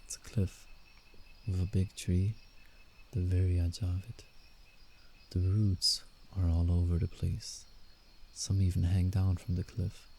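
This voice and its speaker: Guy doing a soft gentle werewolf for asmr roleplay, deep n really even, hushed, protective, bit condescending, crickets in the back. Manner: hushed, soothing, unhurried, faintly condescending. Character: gentle, protective male werewolf guiding a fragile human. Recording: steady night insect ambience, background noise. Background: crickets and night forest ambience.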